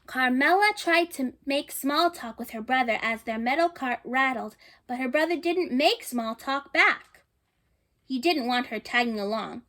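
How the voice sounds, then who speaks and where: A woman with a high, light voice reads a children's storybook aloud. She pauses to think aloud and to put questions to her young listeners. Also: animated, fairly bright tone. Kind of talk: picture book read-aloud with asides to listeners.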